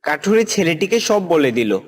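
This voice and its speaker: A man's voice: fairly high pitch, sing-song, moderate pace. A man narrating a scripted children's moral story as the storyteller. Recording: loud music behind the voice.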